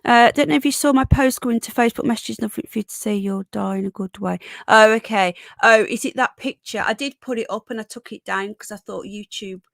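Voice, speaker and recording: A middle-aged British woman with a croaky, congested voice from a cold, hosting a casual live stream where she reads news aloud and chats to viewers. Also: fairly high pitch, brisk, few pauses, fairly bright tone, no room sound. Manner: chatty, reads chat comments aloud and greets viewers.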